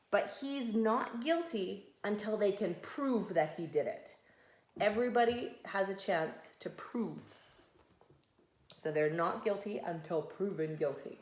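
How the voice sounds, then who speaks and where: A woman's voice: medium pitch, darkish tone. A woman reading a picture book aloud as a mother to children, explaining things patiently in simple, conversational words. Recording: echoey room.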